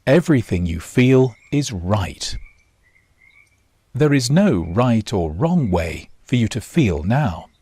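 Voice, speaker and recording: A man guiding a body scan meditation in short, measured instructions with long pauses. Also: lowish voice, very animated, dark tone, slightly rough, no room sound. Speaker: meditation guide. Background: nature sounds.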